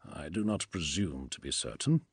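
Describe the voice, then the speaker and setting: A male reader gives voice to a stern warrior in a science fiction story. He growls softly and huskily, close and dry. His words are few, grave and formal, weighted with doubt. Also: lively intonation, normal speed, slightly rough, dry recording, full character voice. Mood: doubtful. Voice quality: low soft growl.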